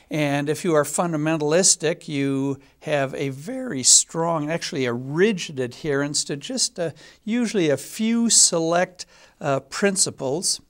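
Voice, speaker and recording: A man in his seventies hosting a local TV panel discussion, speaking haltingly with frequent pauses and fillers. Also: a little high. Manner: hesitant, many filler pauses. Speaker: talk show host.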